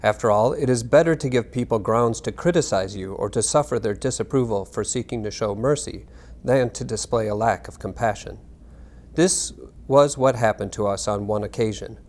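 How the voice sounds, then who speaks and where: A man giving a scripted reading of narration and historical text in a measured, formal manner. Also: fairly low pitch, darkish tone. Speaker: reader.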